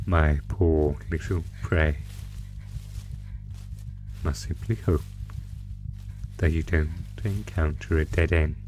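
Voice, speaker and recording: Slow, low-voiced predatory monster acted by a man. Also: dark tone, rough recording. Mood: taunting, menacing. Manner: theatrical, savoring, taunting, drawn-out phrasing. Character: predatory male monster gleefully hunting human prey, ancient, taunting. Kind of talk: horror audio roleplay.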